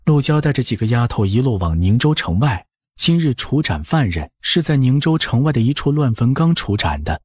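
A man reads scripted audiobook narration in a husky voice of medium pitch. His intonation moves widely, and the sound is dry and close. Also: very animated, moderate pace, few pauses, darkish tone, no room sound.

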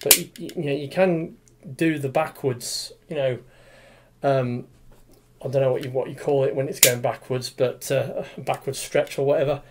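A man's voice: medium pitch, steady tone, moderate pace, background noise. A man, casually reviewing a toy in a small room, rambling with lots of fillers and restarts. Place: small room with display cabinet, indoors.

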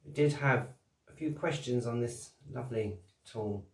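A man's voice: medium pitch, measured pace. A man giving a cheerful, rambling how-to demonstration as channel host, talking at a desk in a small home workshop. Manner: cheery, rambling, laughs at own jokes. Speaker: cigar box guitar builder and channel host. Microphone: camera mic at desk distance. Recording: roomy, distant camera sound.

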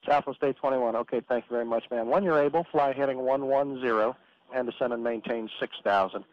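A man working as an air traffic controller, giving brisk, procedural instructions in radio phraseology with filler pauses. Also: medium pitch. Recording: muffled radio transmission with hiss.

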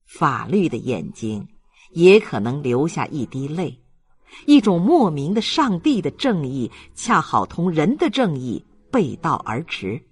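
Slow, expressive male audiobook narrator. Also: on the high side, husky. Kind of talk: scripted literary narration.

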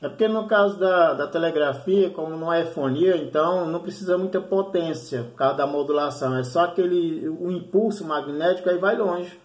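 High-pitched man in an echoey room. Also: brisk, few pauses. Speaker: amateur radio operator. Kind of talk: casual radio chat, explaining.

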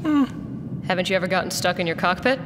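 A woman's voice: lively intonation, fast, loud music behind the voice, natural voice. An actress playing a tough, sardonic female mercenary in an audio drama, dry and assertive. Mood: wary, commanding. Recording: long reverb, faint rumble behind.

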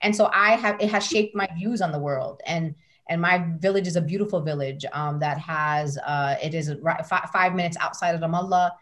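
A woman's voice: fairly low pitch, moderate pace, fairly bright tone. A middle-aged woman from the United States, a guest speaking passionately and emphatically over a video call from a room at home. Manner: passionate, emphatic, repeats words when building a point. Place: home room with curtains behind. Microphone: laptop webcam on video call.

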